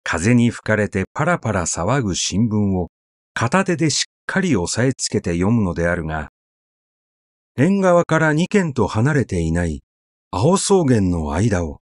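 Slow, expressive man reading a story. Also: lowish voice, dry recording, studio quality. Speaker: narrator, literary reader. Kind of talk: scripted literary story reading, conversational.

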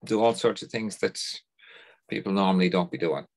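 Dutch-accented man, lowish voice, on a video call. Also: a little mellow. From Netherlands. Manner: thoughtful, unhurried, repeats words as he starts sentences. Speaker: guest. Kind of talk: conversational interview. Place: home study lined with bookshelves.

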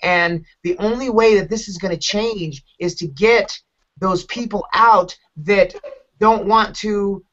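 A man's voice: high voice, slow pace, fairly bright tone. Blunt, emphatic man, muffled call audio. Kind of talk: casual podcast commentary. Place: dim room at home. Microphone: video call on computer. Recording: dull, lo-fi video call audio.

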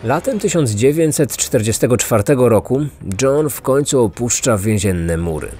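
A man's voice: medium pitch, animated, normal speed, darkish tone. A man narrating a scripted true-crime podcast. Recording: music underneath.

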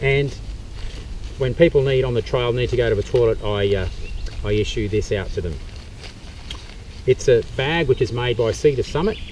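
A man's voice: mid-range voice, normal speed, long pauses, a little mellow. A middle-aged man with an Australian accent, giving a practical outdoor demonstration as a bushcraft instructor, explaining step by step with some fillers. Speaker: bushcraft instructor and tour guide. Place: eucalyptus forest outdoors. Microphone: camera mic from a few metres away. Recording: distant outdoor camera audio, faint background noise.

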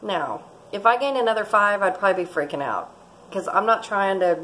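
A woman's voice: medium pitch, expressive, brisk, a bit bright, rough recording. Casting: woman in her 40s, chatty rambling vlogger, mid-range voice, faint kitchen noise. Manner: chatty, rambling, laughs often. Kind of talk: casual cooking vlog chat. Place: home kitchen, indoors. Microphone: fixed camera at close range.

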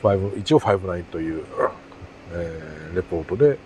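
A man's voice: deep voice, quick pace, noisy background. A middle-aged man from Japan talking casually to camera as a hobbyist vlogger, in an easygoing, rambling, self-deprecating way. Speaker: amateur radio hobbyist vlogger. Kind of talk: casual vlog talk to camera.

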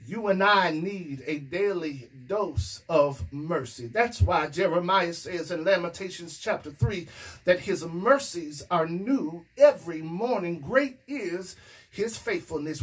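A man's voice: sits high, a bit bright, breathy edge, low-quality recording. A middle-aged male pastor preaching a sermon into a stand microphone in a small room, building emphasis and dropping in "amen" as he goes. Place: small indoor room against a plain wall. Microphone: stand microphone in front of mouth.

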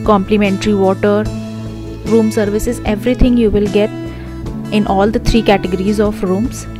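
A young woman gives a chatty hotel walkthrough, mixing in English phrases as she points things out. Her voice sits at an ordinary middle pitch. Clear guitar music runs underneath her. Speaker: travel vlogger. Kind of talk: hotel tour voice-over.

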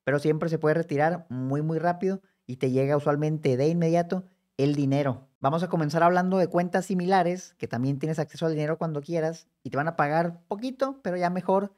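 Guy in his 30s doing a finance tutorial, quick and chatty, talks right to you. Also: on the high side, darkish tone. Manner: brisk, conversational, addresses viewer directly. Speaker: personal finance educator. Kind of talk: explanatory screen-share tutorial.

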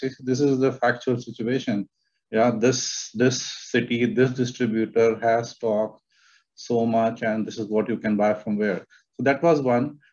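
Halting Indian man on a dull video call. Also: medium pitch, a little mellow. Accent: Indian English. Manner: halting, repeats words, many "uh" fillers. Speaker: panelist, company IT head. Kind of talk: video-call panel discussion. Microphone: webcam on a video call.

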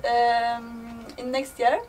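A young woman with a Polish accent and a fairly high voice answers interview questions slowly. She halts and searches for her English words. The microphone sits slightly away from her. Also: level tone, a little mellow, faint music behind. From Poland. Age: young adult. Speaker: artist and gallery co-runner being interviewed. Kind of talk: casual interview. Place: inside a small old caravan. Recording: slightly distant mic, faint ambient noise.

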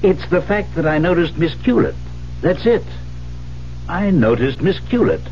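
A dull old radio broadcast with light hiss carries a concerned male confidant. He probes insistently and deliberately, his pitch moving expressively. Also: sing-song. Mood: uneasy, insistent. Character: concerned, probing male confidant of a troubled widow. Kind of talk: 1970s radio drama acting.

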